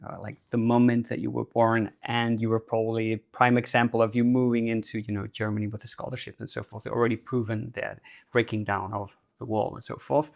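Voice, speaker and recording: Creaky-voiced man hosting an interview. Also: fairly low pitch, flat delivery, a little fast. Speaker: interview host. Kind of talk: casual conversational interviewing.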